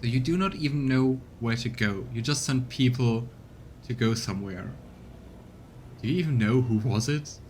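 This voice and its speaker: A man's voice: medium pitch, normal speed, darkish tone, slight background noise. A man giving casual let's play commentary as a game streamer. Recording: music behind the voice. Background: game music.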